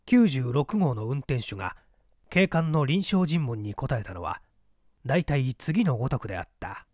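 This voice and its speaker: 台本に沿って文学作品を語る男性の朗読者。. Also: male voice, medium pitch, sing-song, a little slow, a little mellow, dry recording, clean studio sound. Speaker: story reader. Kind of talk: scripted literary narration.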